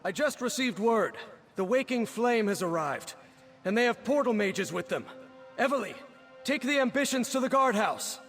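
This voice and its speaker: Resolute fantasy army captain. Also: male voice, high-pitched, lively intonation, normal speed, a bit bright, faint music behind. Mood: urgent, commanding. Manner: commanding, earnest. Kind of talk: video game character acting.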